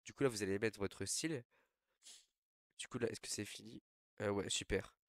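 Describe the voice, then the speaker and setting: A man's voice: fairly low pitch, moderate pace, no room sound, clean studio sound. Young man with a stuffy cold. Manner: relaxed, rambling with many fillers. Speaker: tutorial host. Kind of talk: casual screen tutorial.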